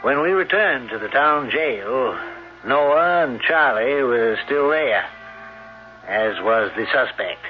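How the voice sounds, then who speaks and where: A man's voice: mid-range voice, lively intonation, slow pace, soft background music. An actor playing a plain-spoken townsman who narrates a radio drama in the first person. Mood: measured. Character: plain-spoken townsman recounting a murder mystery. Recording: muffled old radio broadcast.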